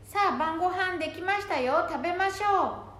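A woman's voice: very high-pitched, moderate pace, a bit bright. A woman playing a homely daughter-in-law in an amateur family radio drama. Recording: echoey room with faint noise.

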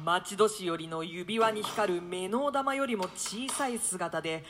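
Radio play: rowdy, fanciful young wit, woman performer, high pitch, flamboyant emphatic antique declamation over clear music. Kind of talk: radio drama in archaic theatrical Japanese.

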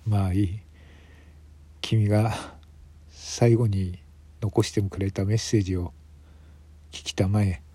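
A man playing a grave, weary police detective in a radio drama, speaking in a measured, hesitant way with sighs. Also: fairly low pitch, fairly even intonation, dark tone, husky.

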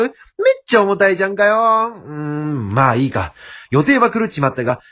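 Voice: medium pitch, a bit bright. Someone doing a hapless wolf bad guy for radio drama, blustery and whiny, slightly dull audio. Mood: flustered, scheming. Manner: blustering, whiny, exasperated retorts. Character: hapless male wolf, blustering villain turned put-upon porter. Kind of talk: amateur radio drama acting.

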